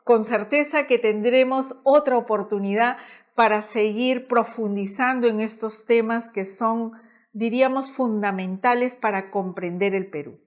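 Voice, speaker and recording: A woman host, speaking in a measured way and filling with "diríamos". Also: fairly high pitch. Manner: warm-thanking, measured, uses "diríamos". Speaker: program host. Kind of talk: slow hosting and interviewing.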